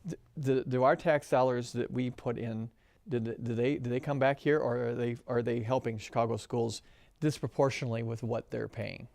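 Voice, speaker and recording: A man's voice: medium pitch, steady tone, normal speed, creaky, a little mellow, dry recording. A man, a county party chairman, answering interview questions with repeated, restarted phrases.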